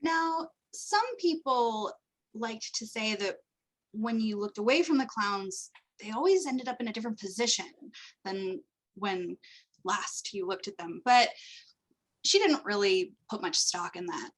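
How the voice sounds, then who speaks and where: A woman's voice: on the high side, expressive, unhurried, low-quality recording. A woman casually telling an improvised story on a video call.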